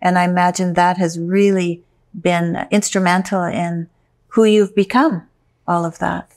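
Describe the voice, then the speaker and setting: A middle-aged woman hosting a podcast interview, speaking casually with many fillers and quick affirmations. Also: fairly low pitch, flat delivery. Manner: warm listener with frequent affirmations, hesitant fillers. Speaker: podcast host. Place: bright room indoors.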